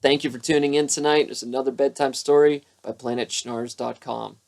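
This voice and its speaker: A narrator reading a children's bedtime story aloud with expressive, emphatic delivery. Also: mid-range voice, brisk, vocal fry.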